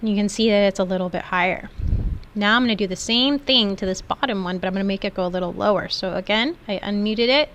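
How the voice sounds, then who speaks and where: Woman, software instructor, informal step-by-step explanations, medium pitch, clear background noise. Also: quick pace, lo-fi sound. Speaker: instructor giving a software tutorial. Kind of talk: informal step-by-step screen tutorial.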